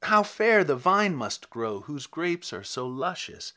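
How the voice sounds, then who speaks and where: A man's voice: fairly high pitch, very animated, slow pace, exaggerated voice. A man voicing a curious young maiden, easily tempted, in a dramatic poem reading. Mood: fascinated. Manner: hushed, then wondering.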